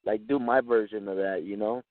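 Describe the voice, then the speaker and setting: A man's voice: fairly low pitch, fairly even intonation, moderate pace, no room sound, phone-quality sound. A man in his twenties from Mexico, a native Spanish speaker, chatting casually and talkatively in slangy English as an interviewed rapper. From born in Chihuahua, Mexico; lives in West Texas. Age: 20s. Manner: slangy, talkative, frequent "man" and "you know what I'm saying".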